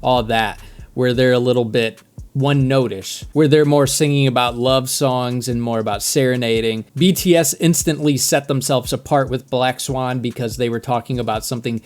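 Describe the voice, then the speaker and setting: Man, native English speaker, mid-pitched voice, casual reaction host, reflective conversational commentary. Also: normal speed. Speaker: music reaction video host.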